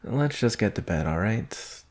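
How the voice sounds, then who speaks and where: A man's voice: lowish voice, expressive, darkish tone. A man playing a nervous young werewolf student with a crush, speaking hesitantly and intimately in an ASMR roleplay. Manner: hesitant, bashful, halting, sighing.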